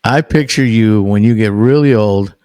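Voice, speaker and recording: A man's voice: on the low side, moderate pace, warm tone, clear, resonant tone, no echo, clean studio sound. Chummy man in his sixties hosting. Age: 60s. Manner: chummy, eager, calls the guest bro. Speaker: podcast host. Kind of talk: casual interview chat.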